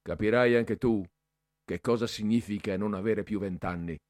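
A man's voice: fairly low pitch, normal speed, darkish tone, dry recording, natural voice. A man doing a stern strict old-school professor. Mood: stern scolding. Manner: severe scolding. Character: stern middle-aged male professor, strict.

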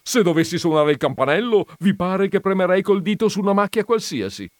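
A man voicing a cranky, stubborn gentleman who argues loudly and loses his patience easily. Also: high voice, big pitch swings, a little fast, no echo, studio quality, pitched above the performer's own voice. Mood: indignant. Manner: loud, indignant, argumentative.

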